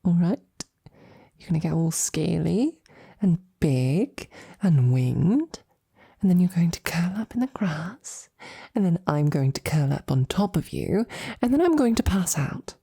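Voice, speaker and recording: Woman playing a soft, breathy, halting female knight. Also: fairly low pitch, very animated, heavily acted. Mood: fond, decisive. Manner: halting, tender, gently teasing. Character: loving, flustered female royal knight courting a princess. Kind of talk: intimate roleplay audio drama.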